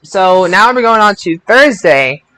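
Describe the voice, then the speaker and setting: Halting male podcast host, casual chat. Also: high voice, lively intonation, sharp tone, poor audio. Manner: halting, stammering restarts. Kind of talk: casual wrestling review chat.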